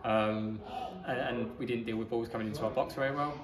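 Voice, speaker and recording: A man, a football manager giving a measured, matter-of-fact post-match interview. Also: medium pitch, steady tone. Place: outdoors in a small football ground stand. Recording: echoey stand with crowd noise.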